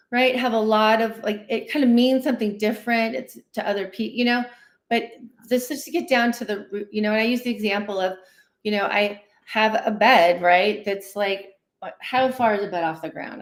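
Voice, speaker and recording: A woman's voice: mid-range voice, normal speed. A woman guest therapist explains things conversationally and animatedly, acting out inner dialogue and often checking in with "right?". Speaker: guest therapist, licensed clinical social worker. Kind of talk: conversational explaining in a livestream interview. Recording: roomy, echoey sound.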